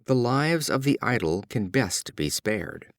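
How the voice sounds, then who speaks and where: A man narrating short fables as an audiobook reader, in a measured, expressive storytelling style. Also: medium pitch, dry recording, studio quality.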